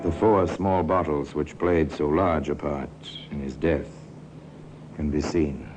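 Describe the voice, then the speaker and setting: A man narrating a 1950s radio crime drama in a grave, deliberate, ominous manner. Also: steady tone, loud music behind the voice. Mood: grave, measured. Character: grave male storyteller of a true-crime tale. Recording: noisy old radio recording with rumble.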